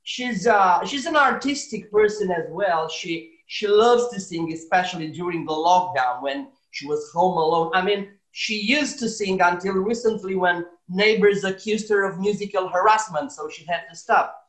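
A middle-aged man from Romania with a Romanian accent, doing deadpan stand-up comedy, chuckling at his own jokes, recorded on a webcam in a small room at home. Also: high-pitched, normal speed, hardly any pauses. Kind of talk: deadpan stand-up comedy and comic song at piano. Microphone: webcam at desk distance. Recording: roomy echo, piano behind.

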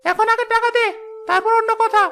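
A woman's voice: very high voice, steady tone, fairly quick, creaky, music in the background. A woman playing a demanding, bad-tempered market trader in a cartoon, insistent and scolding. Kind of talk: cartoon voice acting.

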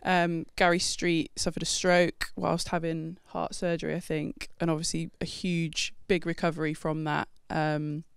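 A woman's voice: low-pitched, flat delivery, measured pace, vocal fry, hardly any pauses, clean tone, dry recording. A woman in her thirties co-hosting a casual chat show, chatty and teasing, speaking into a handheld mic in a studio. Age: 30s. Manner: chatty, teasing, laughs often. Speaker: podcast co-host. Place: red studio set, indoors.

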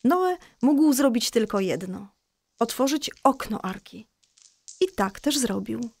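A woman playing a patient mother who explains a Bible story to children in a children's audio drama, reassuring and clear. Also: a little high, expressive, slow, darkish tone, heavily acted. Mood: patient, explaining.

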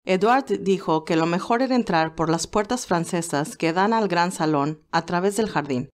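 A woman voicing a loyal, formal male aide to a gentleman in a romance story. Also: lowish voice, moderate pace, close to an everyday voice. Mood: calm, reassuring.

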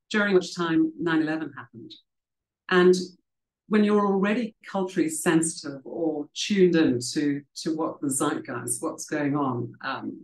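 A woman's voice: deep voice, darkish tone. A middle-aged woman, raised in South Africa, telling her career story at a book festival in a conversational, reflective way with frequent ums and restarts, speaking over a video call from home. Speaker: author and leadership coach. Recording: roomy video call audio.

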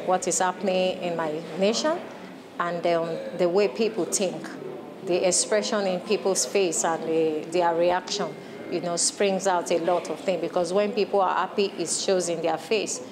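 Low-voiced Nigerian woman artist. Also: fairly even intonation, normal speed, background noise. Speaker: painter being interviewed. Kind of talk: spontaneous interview. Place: indoor art gallery. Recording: people chattering in the gallery.

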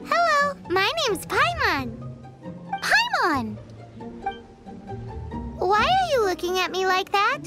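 A woman voicing a tiny, childlike fairy companion in a video game, squeaky, chirpy and cheeky. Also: wildly swooping pitch, takes it slowly, long pauses, loud music behind the voice, exaggerated voice. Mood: indignant. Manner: speaks of self in third person, pert.

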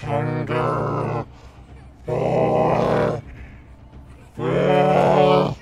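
An actor voicing a huge, sick, hungry mutant blob monster, groaning haltingly with a gravelly croak in an animated comic dub. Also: mid-range voice, full character voice. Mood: pained, hungry. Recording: loud music behind the voice.

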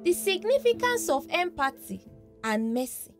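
A woman's voice: very high voice, very animated, normal speed. A woman narrating a scripted folktale in a dramatic storytelling manner. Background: background music.

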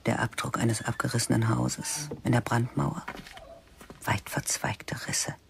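An actress playing a bitter, sharp-tongued older female biology teacher, muttering her thoughts in half-whispered asides. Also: a bass voice, unhurried, gravelly, heavily acted. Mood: musing.

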